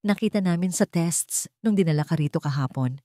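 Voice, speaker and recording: A woman's voice: on the low side, brisk, no echo, studio quality, close to an everyday voice. Woman voicing a grave, detached old male doctor. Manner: flat, unemotional delivery.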